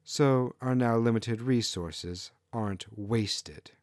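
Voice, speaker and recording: A man's voice: medium pitch, takes it slowly, darkish tone, no echo, clean studio sound, lightly acted. A man voicing an arrogant, callous female fox kitsune ruler in a haughty, dismissive manner for a fantasy story. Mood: haughty, scornful.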